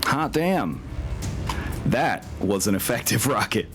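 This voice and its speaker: Low-voiced, animated male game master. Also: takes it slowly, music in the background. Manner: swears casually. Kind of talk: casual tabletop game narration.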